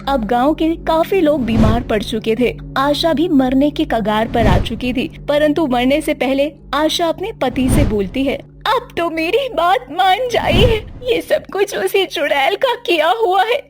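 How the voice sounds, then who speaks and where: A woman narrator reading a scripted dramatic story. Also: sits high.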